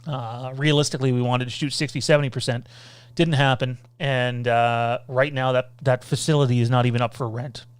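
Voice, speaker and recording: A man hosts a loose livestream Q&A into a desk mic. His voice is smooth and clear, sitting at an ordinary middle pitch, and the sound is close and dry with no room around it. He rambles along, reads out viewer comments and fills his pauses with "uh.". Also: fairly even intonation, no room sound. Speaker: podcast host. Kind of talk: casual livestream Q&A.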